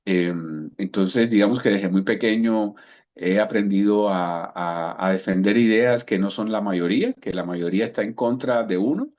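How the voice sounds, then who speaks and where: A low-pitched man in his fifties with a Cuban accent worn smooth by years in Colombia, sharing his story on a video call. He talks in a reflective way, restarting phrases as he looks for words. The audio is dull and lo-fi. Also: normal speed, a little mellow. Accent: Cuban Spanish softened by years in Colombia. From Cuba. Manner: thoughtful, repeats words while searching for them. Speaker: guest coach. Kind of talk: relaxed storytelling interview answer.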